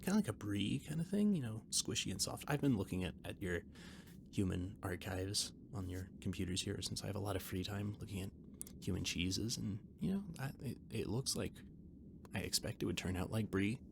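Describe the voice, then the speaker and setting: Over clearly audible background noise, a shy catboy spaceship mechanic played by a man talks in a low voice with plenty of gravelly fry. He rambles and stammers in a conversational, gently defensive way, as in an intimate roleplay audio drama. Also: brisk. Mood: rambling, explanatory. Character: shy, rambling male alien catboy ship mechanic and cook.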